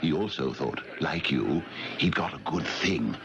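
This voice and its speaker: Radio drama: humble, genial country clergyman, extremely deep, hesitant and easily flustered, noisy vintage tape. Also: animated, measured pace, vocal fry, heavily acted. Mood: modest, hesitant. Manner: hesitant, stammering, fussy. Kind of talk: 1970s radio drama acting. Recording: dull, noisy 1970s radio recording.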